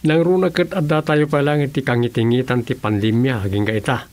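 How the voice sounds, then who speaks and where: A man's voice: medium pitch, fairly even intonation, a little fast, a little mellow, lightly acted. A man playing a world-weary village official in a conversational radio drama style. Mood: matter-of-fact. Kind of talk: radio drama dialogue in Ilocano.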